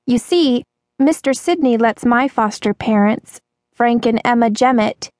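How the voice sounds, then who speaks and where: A woman narrator plays a downtrodden young waitress from a storybook. Her voice sits high, with anxious, stammering hesitation and a pleading, timid manner. The sound is close and dry. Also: a little slow, a little mellow, no room sound, natural voice. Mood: anxious, confiding.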